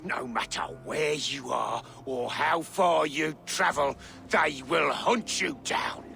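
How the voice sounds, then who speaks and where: Haughty captive king, slow, gravelly. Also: a little high, sharp tone, slight background noise, full character voice. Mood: cold menace. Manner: haughty, vengeful, dramatic. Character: proud, embittered captive king, indignant and threatening. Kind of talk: video game cutscene acting.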